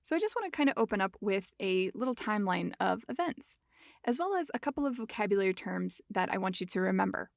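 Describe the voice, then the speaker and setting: A woman's voice: mid-range voice, sing-song, quick pace, gravelly, dry recording. A woman hosts a podcast in a loose, joking teaching manner, poking fun at herself as she goes. Her voice sits mid-range and is recorded dry and close with no room sound. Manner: playful, jokey, self-mocking. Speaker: podcast host. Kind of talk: casual educational podcast talk.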